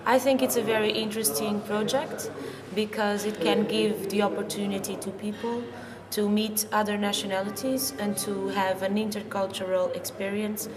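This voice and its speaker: A woman with a Portuguese accent speaks earnestly in an unscripted interview, her voice at an average pitch. She sounds away from the microphone in a long reverb, with a loud crowd around her. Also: level tone, normal speed. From Lisbon, Portugal. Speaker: interviewee, youth project participant. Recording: distant mic, reverberant, loud crowd behind.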